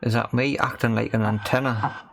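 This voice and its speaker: Man, creaky voice, casual hobby repair commentary, tentative and questioning, faint background noise with clicks. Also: mid-range voice, steady tone, normal speed. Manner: tentative, questioning, musing to himself. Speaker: host of a hobby radio repair video.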